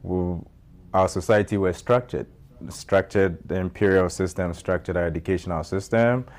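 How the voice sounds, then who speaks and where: A Ghanaian man answering interview questions in a measured way, with many fillers and restarts. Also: low-pitched, a little mellow. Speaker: guest, nonprofit founder.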